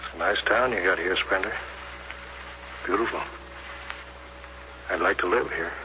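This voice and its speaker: An actor playing a composed, probing spaceship captain with clipped, measured speech in an old-time radio drama. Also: male voice, sits low. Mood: reflective. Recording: dull, noisy vintage radio recording.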